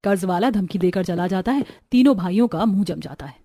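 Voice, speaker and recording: A woman's voice: lowish voice, lively intonation, a little fast, mellow. A woman narrator reading a scripted cartoon story. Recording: music behind the voice.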